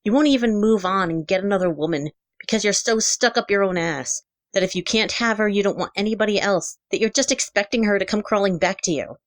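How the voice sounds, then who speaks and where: A woman's voice: medium pitch, a little fast, dry recording, pitched above the performer's own voice, close to an everyday voice. A woman voicing a hurt, defiant teenager in an audio story, emphatic and accusing as they confront a parent. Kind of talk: heated character dialogue in a narrated audio story.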